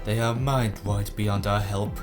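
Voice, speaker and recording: A man playing an anxious but brave warrior hero in an animated fan dub. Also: lowish voice, measured pace, music in the background, close to an everyday voice. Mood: gloomy, worried. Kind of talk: fan dub character acting.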